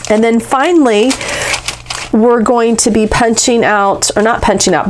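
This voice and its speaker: A young woman with a congested, stuffy nose, chatting casually through a craft tutorial with lots of fillers. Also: medium pitch. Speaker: craft demonstrator.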